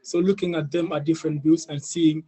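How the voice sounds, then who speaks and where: A man's voice: fairly high pitch, little pitch movement, fairly quick, warm tone, husky, rough recording. A young man with an East African accent giving an earnest personal presentation on an online panel, often adding "you know". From Ethiopia, of South Sudanese family. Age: young adult. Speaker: youth leader, panel speaker. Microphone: video call.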